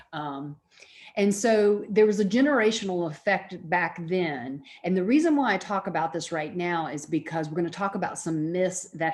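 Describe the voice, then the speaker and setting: A middle-aged woman speaks as a college lecturer. Her voice sits a little low for a woman. She explains in a conversational, informal way, as if talking directly with her class. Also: a little mellow.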